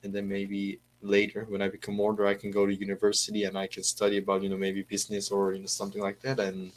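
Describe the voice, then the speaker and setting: A man's voice: on the low side, completely flat delivery, quick pace, a little hiss or hum. A teenage boy from Korea speaking English with an American-leaning accent, hesitant and full of fillers, practicing on a video call. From South Korea. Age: teens. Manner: hesitant, many fillers and restarts. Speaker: student. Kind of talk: casual conversation practice.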